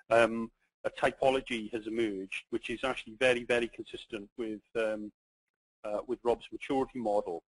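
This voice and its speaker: A middle-aged man with a Welsh accent presenting a business webinar, pausing often with ums and restarting his sentences. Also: medium pitch, dry recording, low-quality recording. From Wales. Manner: halting, many ums and restarts.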